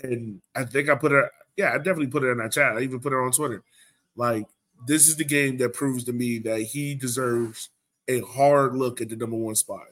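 A man's voice: mid-range voice, steady tone, brisk, a bit bright. A man hosting a sports podcast, chatting casually and informally. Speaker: podcast host.

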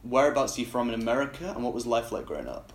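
A man hosts a podcast interview, asking questions and giving short, friendly replies. His voice is at an ordinary pitch for a man. The recording has a noticeable room echo. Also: big pitch swings, very fast. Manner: friendly, brief affirmations. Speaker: podcast host. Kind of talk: interview hosting.

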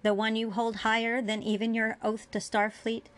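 A woman's voice: medium pitch, moderate pace, lightly acted. A woman voicing a cruel female alien interrogator in a dramatic story reading, accusing and full of contempt.

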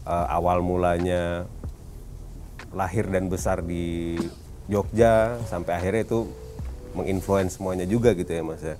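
Low mellow-voiced podcast host. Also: male voice, fairly even intonation, a little slow, long pauses, soft background music. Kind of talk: interviewing.

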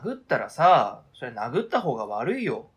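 Male actor playing a flustered, defensive arguer, casual and hesitant, raspy mid-range voice, lively intonation, radio drama. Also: medium pitch, normal speed, full character voice. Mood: protesting. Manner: hesitant, informal, groping for words. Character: baffled, defensive adult, casually protesting and fumbling for words. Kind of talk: radio drama script reading.

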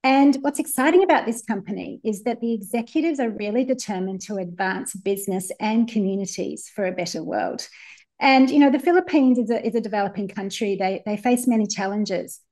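A woman's voice: medium pitch, expressive, fairly quick, darkish tone. A woman from New Zealand, a work futurist and innovation coach, answering interview questions in an upbeat, enthusiastic way.

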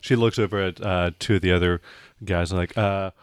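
A man's voice: low-pitched, fairly even intonation, brisk, clean tone, no echo, studio quality. A man narrating an improvised tabletop roleplaying game as the game master, in a conversational way.

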